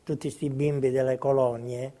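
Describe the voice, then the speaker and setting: A man's voice: medium pitch, level tone, moderate pace, mellow. An elderly man informally recounting his childhood memories as a witness. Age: 70s or older. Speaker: witness giving video testimony. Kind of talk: informal personal reminiscence.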